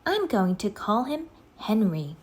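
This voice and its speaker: A woman voicing an eager, trusting young girl in a children's storybook, with an excitable, emphatic manner. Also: mid-range voice, a little slow, pitched above the performer's own voice. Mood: curious, tender. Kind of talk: children's storybook read-aloud.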